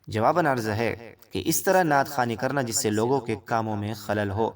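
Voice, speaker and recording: Man formally presenting, distant from the mic. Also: fairly low pitch. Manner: formal, addresses viewers directly. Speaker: presenter of religious rulings. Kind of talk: formal scripted explanation, reading rulings aloud.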